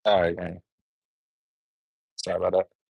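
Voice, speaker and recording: A man's voice: deep voice, flat delivery, a little slow, vocal fry, no echo, dull audio. A young man from Alabama with a Southern accent, rambling casually to his viewers during a live stream. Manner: rambling, chatty, addresses viewers as gang. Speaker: livestreamer. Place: on the road and in a store.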